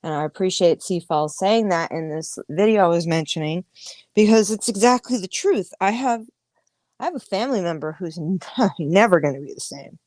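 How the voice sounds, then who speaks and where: A woman's voice: on the low side, animated, normal speed, a little mellow, dry recording. A woman in her thirties hosting a podcast, talking candidly and emphatically in a casual livestream monologue, with frequent swearing. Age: 30s. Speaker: podcast host.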